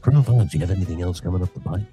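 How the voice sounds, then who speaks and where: A man's voice: deep voice, lively intonation, racing, mellow, faint music behind. A man hosting a podcast in casual chat, loose and upbeat, swearing freely. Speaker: podcast host.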